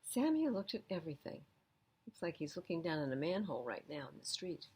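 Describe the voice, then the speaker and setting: A woman's voice: low voice, very animated, fairly quick, husky. A woman reading a picture book aloud for children at a storytime, adding conversational asides about the pictures. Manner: explains pictures and adds gentle asides to young listeners. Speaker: children's storytime reader at a library.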